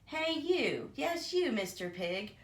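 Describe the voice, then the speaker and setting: Woman doing an indignant talking toothbrush, highly animated. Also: takes it slowly, reverberant. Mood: taunting. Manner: indignant, emphatic. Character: aggrieved, indignant male talking toothbrush, scolding and dramatic.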